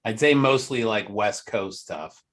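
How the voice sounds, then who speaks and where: A man's voice: lowish voice, animated, a little slow, a bit bright. A man hosting a podcast panel, asking questions and cracking sarcastic jokes. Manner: joking, asks questions, digresses. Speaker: podcast host. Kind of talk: casual chat.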